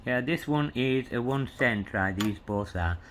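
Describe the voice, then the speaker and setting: Slow meandering guy showing off coins, lots of you know and yeah, faint hiss in the background. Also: mid-range voice, animated, fairly bright tone. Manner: meandering, full of "you know" and "yeah", reads inscriptions letter by letter. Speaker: coin collector showing coins. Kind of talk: rambling show-and-tell to camera.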